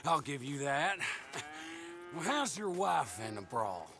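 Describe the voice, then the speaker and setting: Taunting American gang leader in a cowboy game: very drawn-out delivery, creaky and gravelly, smugly bullying. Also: male voice, a little high, very animated, music in the background, exaggerated voice. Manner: slow, taunting, mocking. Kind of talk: dramatic video game acting.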